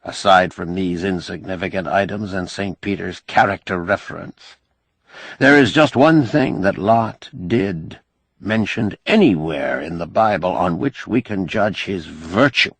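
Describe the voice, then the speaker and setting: An audiobook figure of a learned, crusty older man, acted by a male reader. The voice is low and gravelly with a dry, close sound. He argues like a sardonic lecturer, pedantic and wry. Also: a little slow, fairly bright tone, dry recording, full character voice. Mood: wry lecturing. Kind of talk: audiobook character dialogue.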